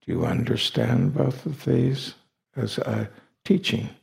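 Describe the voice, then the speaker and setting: Slow, very creaky male meditation teacher. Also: mid-range voice, animated, a little mellow. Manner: deliberate, with long pauses between short phrases. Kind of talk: guided meditation instruction.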